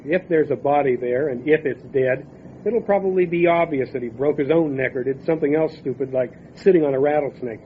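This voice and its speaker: A man's voice: fairly high pitch, brisk, natural voice. A man playing a cynical, world-weary sheriff's lawman in a radio drama, wry, folksy and matter-of-fact. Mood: wry, dismissive. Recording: tinny phone-like band, noisy outdoor ambience.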